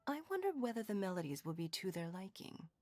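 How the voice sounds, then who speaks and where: An elegant noblewoman of high rank in a game, acted by a woman, with a mid-pitched voice. She is gracious and composed, choosing formal, refined words with measured diction. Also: very animated, a little mellow, dry recording, close to an everyday voice. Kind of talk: video game character acting.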